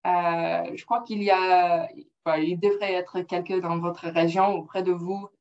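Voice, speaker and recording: Gravelly woman presenting in a second language. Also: on the low side, level tone, a little mellow. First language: English. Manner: careful, halting, with non-native phrasing. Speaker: project officer presenting. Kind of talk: slide presentation. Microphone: computer mic on a video call. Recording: dull lo-fi call audio.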